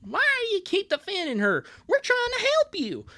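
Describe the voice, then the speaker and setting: The streamer voicing an indignant, protective young cartoon sister, loud and scolding, in a live comic dub. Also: very high-pitched, huge pitch swings, normal speed, voice pushed up, exaggerated voice. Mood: exasperated.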